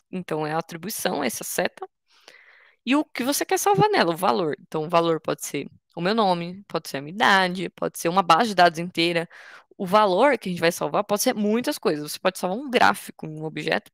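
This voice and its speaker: Gravelly-voiced young woman teaching coding. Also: fairly low pitch, a bit bright, dry recording, clean studio sound. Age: 20s. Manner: patient, informal, explains step by step with restarts. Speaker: workshop instructor. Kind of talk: online coding tutorial.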